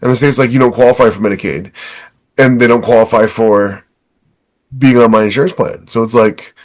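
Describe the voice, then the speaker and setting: A man's voice: mid-range voice, fairly even intonation, brisk. A man co-hosting a podcast, talking casually and emphatically, acting out bits of dialogue as he goes. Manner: emphatic, acts out quoted dialogue. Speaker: podcast co-host. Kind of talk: casual chat.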